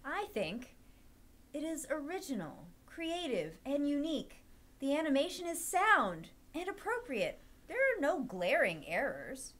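A woman playing an earnest anime fan in a dramatic reading, with measured, deliberate phrasing. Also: sits high, very animated, fairly bright tone, exaggerated voice. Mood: earnest, defensive. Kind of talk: dramatic reading of an old forum post.

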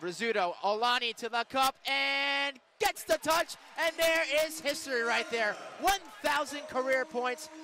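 High-pitched, excitable basketball play-by-play man. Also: measured pace, hardly any pauses. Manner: excitable, punchy calls. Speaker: radio play-by-play announcer. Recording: arena crowd and court noise behind. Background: basketball game in an arena.

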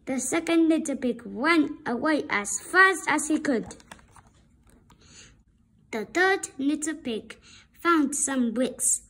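A woman reading a children's story aloud with deliberate, expressive narration. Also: high voice. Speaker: storybook reader.

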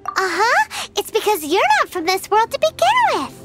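A woman voicing a tiny, perky fairy girl guide in a video game, squeaky and childlike. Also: huge pitch swings, normal speed, over music, full character voice. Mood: curious, explaining. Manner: perky, speaks of herself in third person. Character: tiny cheerful floating fairy girl companion. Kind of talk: animated game dialogue.

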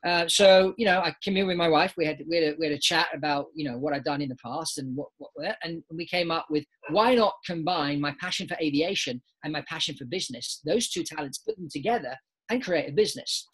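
A man's voice: a little high, brisk, a bit bright, breathy edge, rough recording. A British man in his forties, a business founder, answering interview questions over a video call from a home office. He talks with his hands and often repeats words as he restarts. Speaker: guest, aviation business founder. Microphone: laptop webcam on a video call.

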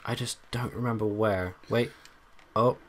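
A young man with a bassy voice, hosting a let's play with casual, joking commentary and humming. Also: steady tone, a little slow, slight background noise. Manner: joking, hums and sings to himself, loud bursts.

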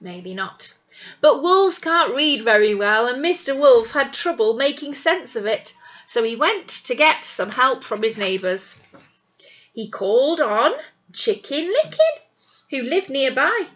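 A woman teacher talks to small children as she shares a picture book. Her voice is bright and rather high, swinging widely in pitch. She explains things and keeps checking in with questions. The sound is slightly dull. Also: sing-song, measured pace. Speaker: primary school teacher. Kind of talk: reading a picture book aloud to young children. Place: small indoor classroom. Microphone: webcam or device at arm's length.

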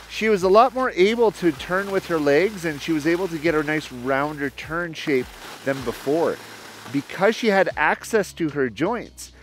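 A man giving step-by-step ski instruction outdoors, explaining a demonstration to camera. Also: a little high. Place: outdoors on an open snowy mountain ski slope.

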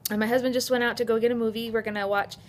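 A woman's voice: a little high, little pitch movement, very fast. American woman vlogger with noisy sound. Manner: informal, conversational, rambling. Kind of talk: casual vlog chat. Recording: clearly noisy phone video sound.

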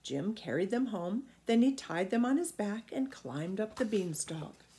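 A middle-aged woman reads a children's story aloud. Her delivery is expressive, with lively pitch movement around a medium register. She is recorded at home, a short way from the device. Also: measured pace. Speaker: storybook reader. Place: small indoor room at home.

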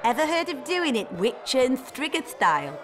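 A woman's voice: high-pitched, big pitch swings, moderate pace, music in the background. An actress playing a cocky, teasing woman soldier in a video game, playful and mocking.

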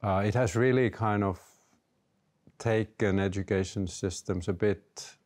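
A Finnish-accented man in his fifties speaks in a very deep, unhurried voice. He is thoughtful and halting, often restarting his sentences and padding them with fillers as he answers panel questions. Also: fairly even intonation, darkish tone. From Finland. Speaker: panel guest, education leader. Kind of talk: panel discussion. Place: indoor library room with bookshelves.

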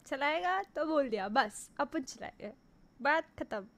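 A woman's voice: high voice, expressive, unhurried, a bit bright. A woman in her twenties with an Indian accent reacting casually as a streamer, amused and repeating phrases back. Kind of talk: casual live reaction, mixing Hindi with some English. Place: indoor room at a streaming setup.

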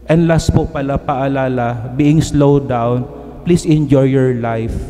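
A man's voice: medium pitch, steady tone, a little slow, dark tone. A middle-aged Filipino man, a priest giving an earnest, emphatic homily at a stand mic in a large church, switching between languages. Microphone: stand mic at pulpit. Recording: reverberant church hall.

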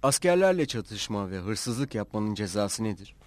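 A man playing a composed, authoritative young governor in a cartoon dub, with a measured manner. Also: lowish voice, very animated, no room sound. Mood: stern.

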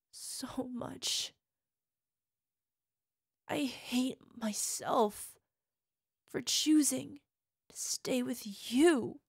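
A young woman acting a volatile, bitter partner in an audio roleplay monologue. She swings between hushed whispers and swearing outbursts. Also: high-pitched, gravelly, dry recording, studio quality, heavily acted.

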